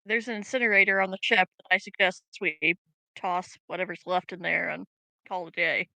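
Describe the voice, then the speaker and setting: Player, woman, bright, sharp voice, quick quips, dry mic. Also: fairly low pitch, fairly even intonation, vocal fry, no room sound. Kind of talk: casual tabletop game chat.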